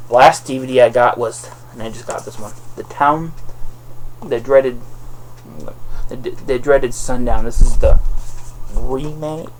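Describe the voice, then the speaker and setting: A man with a lisp giving a casual, rambling movie review, halting and often correcting himself. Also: medium pitch, a little hiss or hum, rough recording. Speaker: vlogger reviewing DVD purchases.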